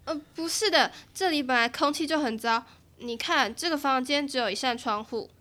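A woman playing a prickly, suspicious young recluse. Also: high-pitched. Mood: subdued. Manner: sharp, quick to snap, sarcastic. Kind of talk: audio drama acting.

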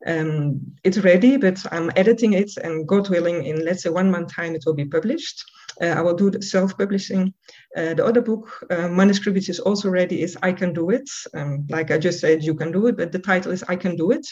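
A woman's voice: on the low side, a little fast, darkish tone. A woman guest author who speaks English as a second language, answering earnestly with many hesitant ums. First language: not English. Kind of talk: interview answers. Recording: dull lo-fi audio.

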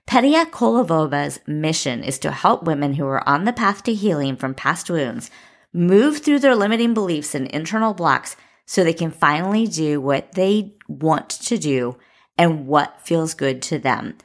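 A woman's voice: deep voice, expressive, a little slow. A woman hosting an interview podcast, introducing a guest and asking questions.

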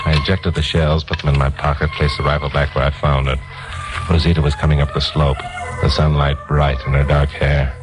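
A wry, assured American newsman hero, in an old radio crime drama. His voice is deep, with music in the recording. He sounds breezy and sure of himself, whether narrating or talking. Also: poor audio. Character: confident, teasing hard-boiled newsman hero and narrator.

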